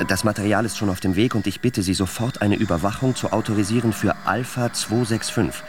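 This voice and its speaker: A man's voice: on the low side, fairly quick, natural voice. A man playing a brusque, stressed security agent in a radio play, with terse, clipped speech. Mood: formal, urgent. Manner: terse, clipped phone talk. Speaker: actor in a radio drama. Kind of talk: German radio play acting. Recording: clearly noisy background.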